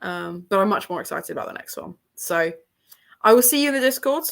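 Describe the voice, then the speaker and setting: A woman's voice: mid-range voice, lively intonation, fairly quick, creaky. A woman with an English accent hosting a casual book livestream, chatty and rambling with a sore throat, talking from a room at her parents' home. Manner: chatty and rambling, with frequent laughs. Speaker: book channel host.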